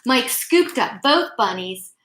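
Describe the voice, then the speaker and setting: Slow, bright, animated woman storyteller. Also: a little high. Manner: deliberate, emphatic phrasing, addresses young listeners. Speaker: storyteller reading a picture book to children. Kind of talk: expressive read-aloud bedtime story with asides.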